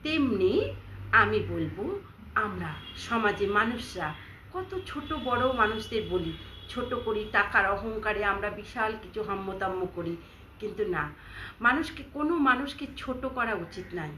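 A woman's voice: medium pitch, expressive, a little slow. Woman telling a children's story, echoey. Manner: warm address to listeners, moralizing close. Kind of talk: children's story narration with a moral. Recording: echoey room with faint noise.

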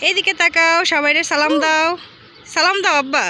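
A woman's voice: sits high, fairly even intonation, a little fast, pushed and bright. A woman with a Bangladeshi accent chatting casually to viewers as a rooftop garden vlogger, explaining things with some repetition. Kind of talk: casual vlog chat to viewers. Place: open rooftop garden in a city. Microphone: handheld phone behind the camera. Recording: noisy outdoor phone recording.

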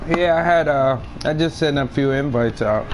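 Chill young dude talking slang over party chat, bit high voice, faint hiss. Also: male voice, normal speed. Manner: laid-back, slangy, profane. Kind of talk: casual gaming chat.

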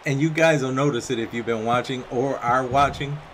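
A young man doing play-by-play commentary over a wrestling video game, chatty and casual between calls and getting excited on the big moves. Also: medium pitch, fairly quick, a little hiss or hum, rough recording. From Puerto Rican background. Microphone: home microphone, voice-over on game footage.

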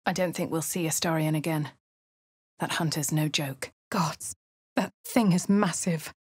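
A woman with a posh English accent voicing a refined young adventurer, crisp and composed in game voice lines. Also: deep voice, a little slow, darkish tone, dry recording. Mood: wry. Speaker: voice of a player character. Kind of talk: game voice lines, first-person narration.

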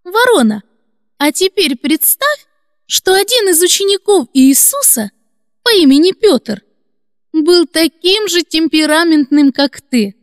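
A woman voicing a gentle little girl puppet who hosts and tells Bible stories to children in a kindly, expressive way. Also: extremely high pitch, unhurried, dry recording, studio quality, heavily acted. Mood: storytelling. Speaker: host and storyteller.

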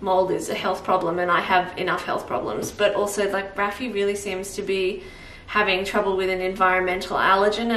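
A woman's voice: medium pitch, a bit bright, distant mic, slight background noise. A woman in her thirties with an Australian accent, chatting casually in a rambling way while cooking at home in her kitchen. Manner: rambling, chatty, thinking aloud with fillers. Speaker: vlogger. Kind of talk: casual cook-along vlog chat. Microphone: camera mic a few feet away. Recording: roomy kitchen echo, voice from off-mic.

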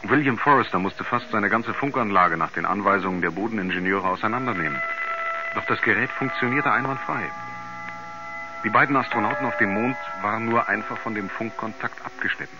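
Low-voiced, sober reporter played by a man. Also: very noisy, natural voice. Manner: measured, factual. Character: sober male reporter narrating a mysterious space incident. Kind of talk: documentary-like radio drama narration. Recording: dull vintage radio recording, music underneath.

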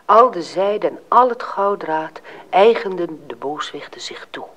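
A man narrating a fairy tale as a dramatic storyteller, with a husky voice. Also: sits high, lively intonation, a little slow, a bit bright.

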